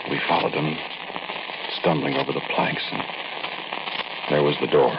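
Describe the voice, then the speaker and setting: A frightened, weary crook narrating an old radio drama in an extremely low American voice. He is brooding and plain-spoken, halting between short phrases. Heavy crackle and a muffled band cover the vintage recording. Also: fairly even intonation. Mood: brooding, uneasy. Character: weary, frightened male robber narrating his story. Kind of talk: 1940s radio drama acting, hushed first-person narration.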